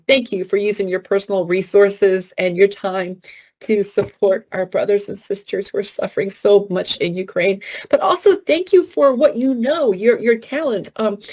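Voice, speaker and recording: A woman's voice: medium pitch, darkish tone, husky. A woman co-moderating a panel in a conversational, effusive and emphatic way.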